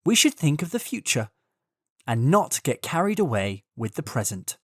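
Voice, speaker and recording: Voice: mid-range voice, big pitch swings, normal speed, no room sound, clean studio sound. A storyteller voicing a hardworking male ant in a children's fable, speaking in an earnest, matter-of-fact way. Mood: earnest, advising.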